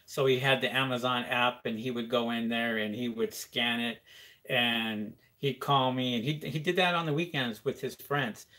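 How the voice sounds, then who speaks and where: A man's voice: mid-range voice, fairly even intonation, normal speed, sharp tone. A man hosting a live question-and-answer stream, chatting casually with many fillers and reading viewer comments aloud.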